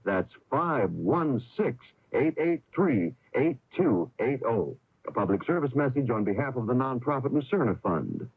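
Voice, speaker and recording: A man reading a scripted public service announcement on radio in a deliberate, enunciated manner. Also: medium pitch, big pitch swings, a little hiss or hum. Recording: tinny old radio recording.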